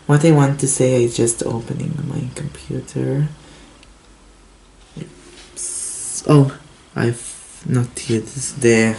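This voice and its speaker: A teenage boy from Israel, a native Hebrew speaker, talking in halting English with a Hebrew accent and many fillers while showing his laptop. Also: medium pitch, vocal fry, mellow. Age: teens. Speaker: tech vlogger. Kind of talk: casual unboxing and review vlog. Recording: roomy echo, faint hiss.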